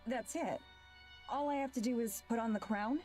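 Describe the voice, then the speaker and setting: A woman's voice: fairly high pitch, normal speed, dark tone, soft background music. A woman reading a visual novel's dialogue and first-person narration aloud.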